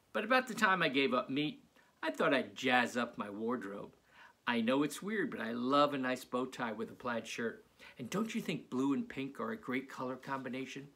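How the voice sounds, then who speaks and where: Man reading as a chatty young zombie boy, slightly husky. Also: medium pitch, pushed and bright, exaggerated voice. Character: friendly second-grade vegetarian boy zombie, chatty narrator.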